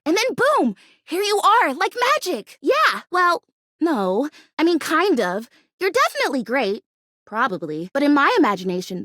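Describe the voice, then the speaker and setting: A woman's voice: high-pitched, a bit bright, dry recording, clean studio sound. A woman voicing a bubbly, scatterbrained young plastic houseplant in a video game, chatty and gushing. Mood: excited, friendly, then chatty, awkward. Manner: gushing, chatty, easily distracted, exclamatory. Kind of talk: animated video game character acting.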